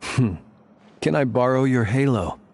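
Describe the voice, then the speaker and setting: A laid-back, teasing man, husky and mid-pitched, tossing off jokes in game dialogue. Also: unhurried, darkish tone.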